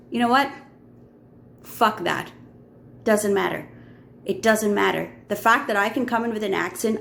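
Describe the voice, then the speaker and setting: A woman's voice: medium pitch, vocal fry. Earnest Indian-accented woman vlogging. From Middle East. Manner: earnest, heartfelt, with frequent fillers and restarts. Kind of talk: reflective personal vlog.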